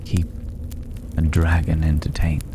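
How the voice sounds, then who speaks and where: A man playing a mysterious, courteous stranger in an intimate fantasy ASMR roleplay, speaking softly and pensively in formal, old-fashioned phrases, sometimes near a whisper. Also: rumbling low, monotone, a little slow, noisy background. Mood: reflective, intrigued. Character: mysterious, courteous male stranger living in a dragon's cave.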